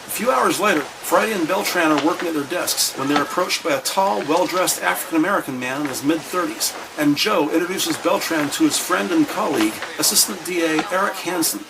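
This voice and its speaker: A man voicing the narrator of a crime audio drama, describing scenes in a measured, wry, scripted way. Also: fairly high pitch, creaky, background noise. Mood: matter-of-fact.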